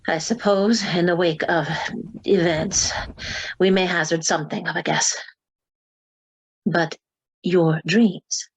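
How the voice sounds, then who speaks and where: A probing female questioner in a radio drama. Her voice is low and husky, and she presses on in deliberate, precise, formal phrases. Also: fairly even intonation, full character voice, low-quality recording. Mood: insistent. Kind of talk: radio play acting.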